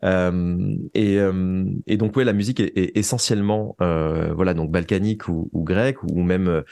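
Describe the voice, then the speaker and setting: A man's voice: low-pitched, steady tone, normal speed, vocal fry, warm tone, no echo. A man speaking as an interview guest about his creative work, reflective and often searching for words. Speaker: interview guest, audio fiction writer and composer.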